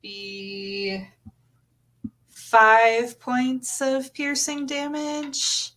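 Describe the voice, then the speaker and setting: A woman's voice: on the high side, little pitch movement, drawn-out words, fairly bright tone. A woman chatting casually as a player in a tabletop game.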